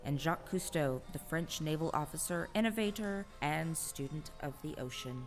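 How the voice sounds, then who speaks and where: A woman narrator with a low voice tells a radio play with dramatic delivery. Faint music plays under her, and the sound has a long reverb. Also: normal speed.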